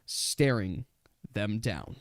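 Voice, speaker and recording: A man's voice: on the low side, expressive, very slow, no echo, studio quality. A man acting as game master in a casual tabletop game, explaining rules step by step. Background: background music.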